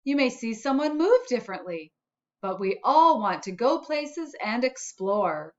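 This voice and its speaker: A friendly storybook spot, voiced by a woman, speaks slowly and encouragingly to children. The voice is a bit high, with simple, cheerful helper phrasing. The sound is slightly dull and lo-fi. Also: sing-song. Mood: upbeat, encouraging.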